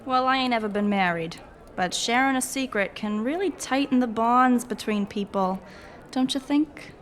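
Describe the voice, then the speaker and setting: A woman's voice: a little high, moderate pace, lightly acted. A woman playing a brash, flirtatious, foul-mouthed adventurer with a cheeky, casual drawl in a fantasy audio drama. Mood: flirtatious, teasing.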